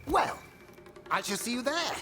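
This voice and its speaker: A man voicing an excitable, eccentric inventor in a video game, flustered and theatrical in his delivery. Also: very high voice, measured pace, over music. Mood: pleased, eager. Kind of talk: video game cutscene acting.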